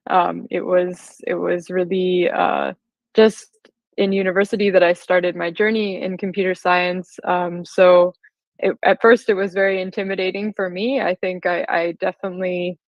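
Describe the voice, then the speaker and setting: American woman, slow and even delivery, conversational presenter, dull roomy recording. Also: lowish voice. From California, United States. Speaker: guest speaker, software engineer. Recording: slightly roomy, dull lo-fi sound.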